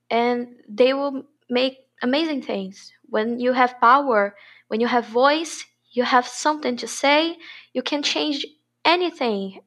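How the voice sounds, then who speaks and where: Teenage girl, from Brazil, raised pitch, passionate, emphatic. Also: a bit bright. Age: teens. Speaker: podcast guest. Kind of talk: interview answers.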